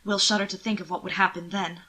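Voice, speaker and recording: A woman narrates fiction in an expressive, scripted storytelling style. Her voice sits at a middle pitch for a woman and has a bright, forward tone. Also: fast. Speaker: audiobook narrator.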